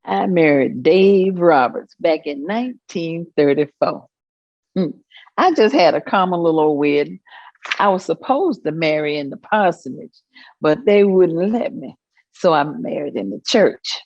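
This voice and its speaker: Woman acting elderly grandmother, lowish pitch, very unhurried, homely, matter-of-fact, staged reading. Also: lively intonation, a little mellow, no room sound, muffled recording, full character voice. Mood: fond, amused. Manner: plainspoken, pausing to reminisce. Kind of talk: dramatic staged reading of oral history.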